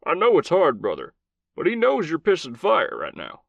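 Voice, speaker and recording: A man voicing an older male commander, a gruff old cowboy with a thick Southern drawl who swears freely. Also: fairly high pitch, wildly swooping pitch, moderate pace, dry recording, dull audio, pitched above the performer's own voice, full character voice. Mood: gruff consoling.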